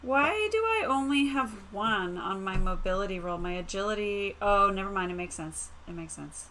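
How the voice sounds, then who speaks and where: A woman's voice: medium pitch, animated, moderate pace, fairly bright tone, slight background noise. A woman chatting casually as a player in a tabletop role-playing game.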